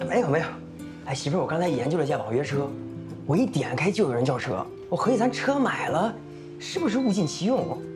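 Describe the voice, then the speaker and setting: Young husband, coaxing, colloquial, lively pitch, screen drama. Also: male voice, quick pace, over music. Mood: eager, reassuring. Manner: coaxing, repetitive pleading, colloquial. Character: optimistic, coaxing young husband, apologetic to his wife. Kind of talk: naturalistic screen drama acting.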